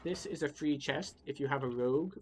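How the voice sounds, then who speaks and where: A man's voice: fairly high pitch, brisk, background noise. A man giving casual, wry, explanatory commentary as he guides a video game playthrough. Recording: game music behind the voice. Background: video game music.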